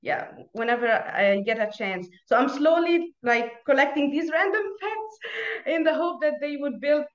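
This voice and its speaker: A woman's voice: high-pitched, lively intonation, moderate pace, echoey room, highs cut off. A young woman from Egypt, a native Arabic speaker, chatting in a video-call interview, laughing often and restarting her sentences. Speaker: guest. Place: small room at home. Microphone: earphone mic on video call.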